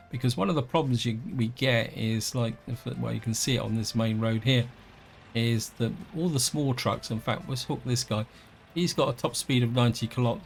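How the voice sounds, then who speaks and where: A man talks through his game in a loose, thinking-aloud way, often correcting himself mid-sentence. His voice is gravelly and slightly wheezy from an allergic reaction. Soft music plays faintly underneath. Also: medium pitch. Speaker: let's play host. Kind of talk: casual gameplay commentary.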